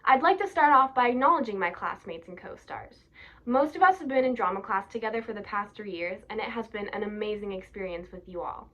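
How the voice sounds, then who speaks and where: A woman's voice: mid-range voice, animated, a little fast, a bit bright, dull audio. A young woman giving a prepared welcome speech as student director of a play. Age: young adult. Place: small plain indoor room.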